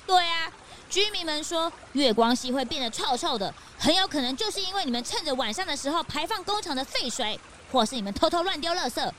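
A woman playing an excitable young detective's sidekick in a children's radio drama, exclamatory and quick to whine. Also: high-pitched, slight background noise. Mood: accusing.

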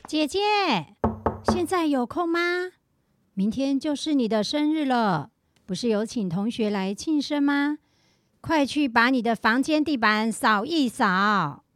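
A woman's voice: high voice, lively intonation, measured pace, no room sound, clean studio sound. A middle-aged woman acting in a children's radio drama as a mother giving instructions to her daughter. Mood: instructing.

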